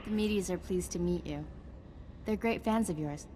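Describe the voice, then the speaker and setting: An actress playing an earnest, idealistic female Jedi scholar in a video game, speaking in a measured, articulate way. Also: on the low side, warm tone, slight background noise, natural voice. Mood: earnest, enthusiastic. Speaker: Jedi ally briefing a mission. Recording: music behind the voice.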